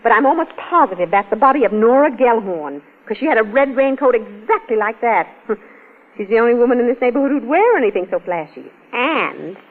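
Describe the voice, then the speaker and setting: An actress playing a chatty, self-righteous middle-aged neighborhood busybody in an old-time radio drama. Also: female voice, on the high side, lively intonation, fairly quick. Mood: gossipy. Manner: chatty, rambling, insinuating. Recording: muffled, narrow-band old radio recording.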